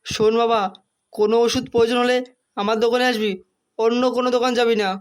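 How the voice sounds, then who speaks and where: A man's voice: very high-pitched, flat delivery, quick pace, clean tone. A man voicing a bossy, reassuring older village shopkeeper in a comic cartoon.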